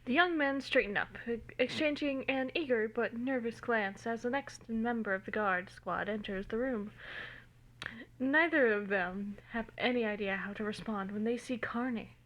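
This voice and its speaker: A woman's voice: fairly high pitch, normal speed, fairly bright tone, low-quality recording. A woman giving an informal dramatic reading of a story, stumbling over words now and then, recorded on a phone. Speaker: amateur reader of fan fiction.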